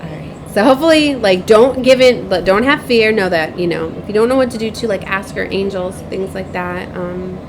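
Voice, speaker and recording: A woman's voice: medium pitch, normal speed, few pauses, background noise. A woman giving a casual, rambling spiritual vlog, repeating herself, often checking for agreement and acting out bits of remembered dialogue. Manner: rambling, repetitive, frequently seeks agreement with tag questions. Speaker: spiritual advisor and tarot reader, host.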